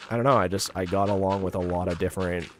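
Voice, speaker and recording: Young male streamer, deep voice gone a bit raspy, easygoing and meandering while he plays. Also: steady tone, quick pace, slight background noise. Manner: rambling, heavy use of "like" fillers. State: slightly raspy voice. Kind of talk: casual chat over gameplay.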